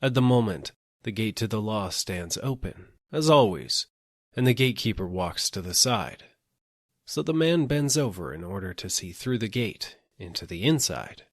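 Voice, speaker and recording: A man narrating an audiobook, telling a literary story in a measured, deliberate way, phrase by phrase. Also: on the low side, expressive, dry recording, studio quality.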